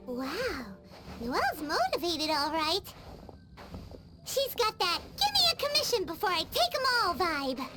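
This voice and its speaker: Squeaky little fairy girl, super high and bouncy, loud game music behind. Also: wildly swooping pitch, a little slow, exaggerated voice. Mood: teasing. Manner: chattering, teasing. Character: tiny floating female fairy companion, chirpy and cheeky. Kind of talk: video game voice acting.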